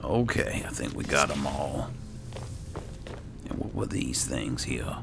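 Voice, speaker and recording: Male gamer, gravelly with lively pitch swings, exclaiming and chatting to companions mid-play. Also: takes it slowly. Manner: exclaims and talks to companions as he plays. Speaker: gaming video host. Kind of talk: casual roleplay gameplay commentary. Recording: loud game noise behind the voice. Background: game combat and ambience sounds.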